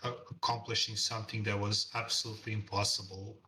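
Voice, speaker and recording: A non-native English speaker, a man, talks earnestly about his own journey, circling back over words as he finds them. His voice is bright and pushed forward, though he sits some distance from the microphone. A faint hiss of background noise stays under him. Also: fairly low pitch, flat delivery, fast. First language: not English. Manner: earnest, reflective, repeats words while searching for them. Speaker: guest artist. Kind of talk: casual interview chat over a call.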